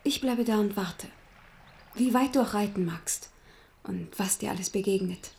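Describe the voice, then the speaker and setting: An actress playing a tender young village sweetheart in a radio play, plaintive and intimate, often slipping into whispers. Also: female voice, mid-range voice, moderate pace, gravelly, darkish tone, close to an everyday voice. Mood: tender, sorrowful. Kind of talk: German radio play acting.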